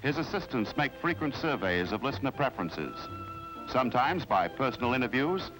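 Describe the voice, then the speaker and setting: Male American narrator, vintage fifties educational film; formal, measured script reading with animated intonation over music. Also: mid-range voice, low-quality recording. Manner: formal, measured, explanatory delivery. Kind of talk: 1950s documentary scripted narration.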